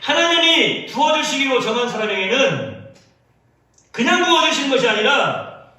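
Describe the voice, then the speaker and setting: A male pastor preaching a sermon in an animated, storytelling way, with many acted quotes. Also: very high voice, moderate pace. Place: church sanctuary. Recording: echoey church sound from a distance.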